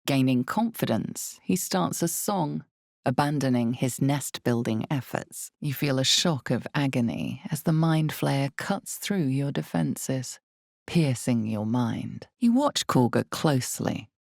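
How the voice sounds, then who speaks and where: A woman performing as an ominous storyteller in a dark fantasy game, narrating in a deliberate, suspenseful, theatrical way with breathy drops toward a whisper. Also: very deep voice, animated, no room sound, clean studio sound, heavily acted. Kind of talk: dramatic second-person fantasy game narration.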